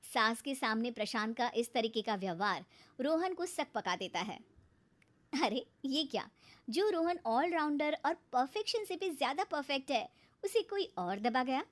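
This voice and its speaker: Woman narrator with a high voice reading out a cartoon story. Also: expressive, measured pace.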